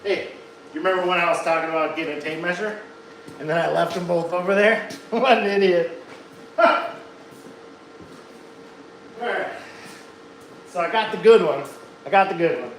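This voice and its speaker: Minnesotan guy in his thirties, somewhat high voice, rambling self-mocking live-stream chat from a home garage on a phone mic. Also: normal speed, pauses often, a bit bright, a little hiss or hum, poor audio. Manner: rambling, self-deprecating, joking. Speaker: livestreaming truck builder. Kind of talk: casual live stream chat while working. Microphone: phone held at arm's length, later set down away.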